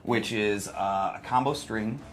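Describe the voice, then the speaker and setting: A man's voice: lowish voice, a little slow. An enthusiastic man presenting a video game demo in a casual commentary style. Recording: music playing behind the voice.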